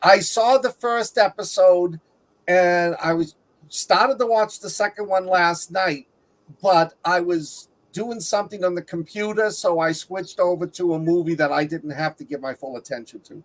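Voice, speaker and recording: A woman's voice: fairly low pitch, normal speed, rough recording. A woman talking casually and conversationally as a panelist on a review show.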